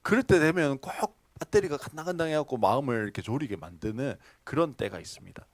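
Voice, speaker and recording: A man preaching in church, his pitch rising and falling with lively emphasis as he tells homely stories. He tosses questions to the congregation and laughs at his own asides. The sound is close and dry. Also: fast, dry recording. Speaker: pastor. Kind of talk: sermon. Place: church sanctuary.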